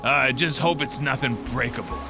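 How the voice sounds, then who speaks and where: A man's voice: mid-range voice, moderate pace, close to an everyday voice. A man playing a laid-back, clueless slacker dude in a comedic audio drama, rambling and casual. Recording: music behind the voice.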